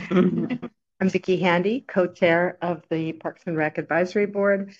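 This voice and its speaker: A woman co-chairing a board meeting, chatty and quick to laugh. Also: on the low side, breathy edge. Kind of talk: meeting chat. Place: meeting room. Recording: dull lo-fi meeting recording.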